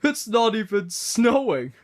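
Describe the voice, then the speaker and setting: This is a big steam engine in a children's story, boastful and proud of his size. A man puts him on, raising his pitch. The delivery is slow and lively. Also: heavily acted. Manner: boastful, whiny when asked to work.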